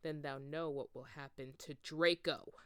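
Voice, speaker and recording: Woman playing a cruel male dark wizard, commanding, slow archaic delivery, storybook villain, dry sound. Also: low-pitched, fairly even intonation, smooth, no echo, voice pushed down. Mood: cruel threat.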